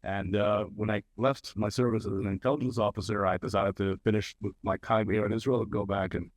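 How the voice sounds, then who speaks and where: A young man speaking as a panelist who tells a personal story in a halting way, with many fillers and restarts. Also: lowish voice, fairly even intonation, no room sound.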